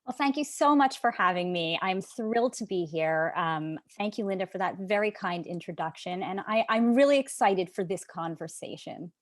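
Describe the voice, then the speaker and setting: A woman's voice: mid-range voice, moderate pace, hardly any pauses, no room sound. Earnest woman author telling her story. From Canada. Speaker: author and guest. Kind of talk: interview answer. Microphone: video call.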